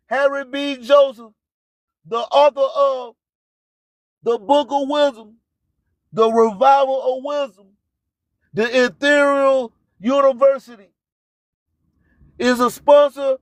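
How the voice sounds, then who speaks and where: A man's voice: extremely high pitch, no room sound. A man hosting an internet radio show, preaching and hyping in repetitive, rhyming catchphrases with a declamatory delivery. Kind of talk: live show hype and preaching monologue.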